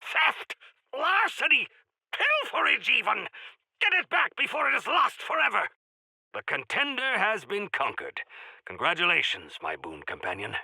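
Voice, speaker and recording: Flamboyant, wildly swooping heroic quest-giver. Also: male voice, high-pitched, wildly swooping pitch, unhurried, creaky, pushed and bright, breathy edge, no room sound, exaggerated voice. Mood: triumphant, grand. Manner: pompous, florid, theatrical phrasing. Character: grandiloquent heroic male quest-giver of a mountain folk. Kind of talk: video game character voice lines.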